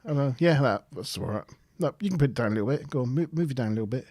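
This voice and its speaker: Deep-voiced man hosting a show. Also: animated, racing, darkish tone. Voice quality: deep, boomy. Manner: jokey, laughs often, playful announcer voice for the intro. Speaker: podcast host. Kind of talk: casual livestream chat. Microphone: good desk mic.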